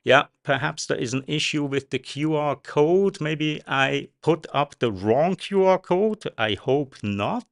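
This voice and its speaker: Slow-talking Swiss host. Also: male voice, fairly high pitch, animated, creaky. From Switzerland. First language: Swiss German. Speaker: show host. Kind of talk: live-streamed interview.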